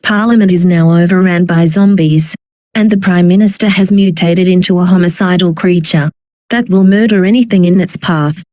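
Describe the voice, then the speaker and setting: A woman's voice: on the low side, steady tone, moderate pace, dark tone, no room sound. A woman reading a scripted, formal emergency broadcast announcement.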